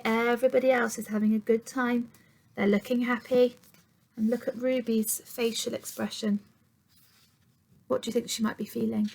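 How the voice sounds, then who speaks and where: A woman with a fairly high, breathy voice that often slips into creak reads a children's story aloud. She is expressive and teacherly, speaking straight to young listeners and dropping into hushed tones for effect. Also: poor audio. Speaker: teacher reading a picture book to pupils.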